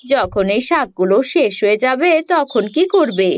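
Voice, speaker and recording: Woman as a high-voiced, persuasive village neighbour. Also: lively intonation, moderate pace, muffled recording, slight background noise, lightly acted. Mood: pressing, advising. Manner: pressing, advising. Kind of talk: Bengali animated fairy-tale voice acting.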